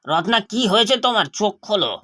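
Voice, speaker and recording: High-voiced, fretful village father played by a man. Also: lively intonation, quick pace, sharp tone, exaggerated voice. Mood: worried. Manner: fretful, lamenting. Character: poor, troubled village husband and father.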